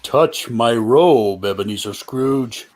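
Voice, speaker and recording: A man playing a solemn, stately spirit who speaks gravely and deliberately in a radio play reading. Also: mid-range voice, darkish tone, close to an everyday voice. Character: solemn, stately Christmas ghost guiding an old miser.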